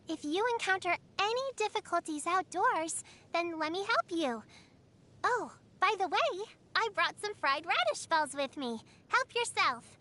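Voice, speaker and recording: Caring little girl disciple, anime game character, unhurried pace, lively intonation, polite, childlike, earnest. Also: very high voice, full character voice. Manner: polite, nurturing, childlike wonder. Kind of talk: cute anime video game voice lines.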